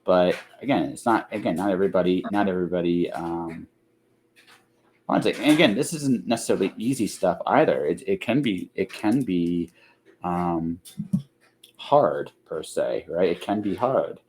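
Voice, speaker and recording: A man's voice: low voice, lively intonation, normal speed, darkish tone, slight background noise. A man hosting a podcast in casual chat, rambling as he repeats and rephrases himself. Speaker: podcast host.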